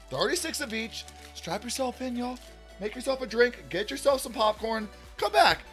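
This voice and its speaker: A man's voice: sits high, a bit bright. A man in his thirties hosting a card-opening video, upbeat and talking to viewers as "y'all" while losing his voice. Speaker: card-opening channel host. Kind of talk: excited card unboxing chat. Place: indoor room at a table.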